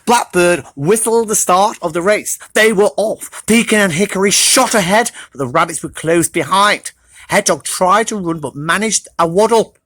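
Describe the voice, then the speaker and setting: A storybook reader narrating for children in an animated style. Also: medium pitch, normal speed, no echo.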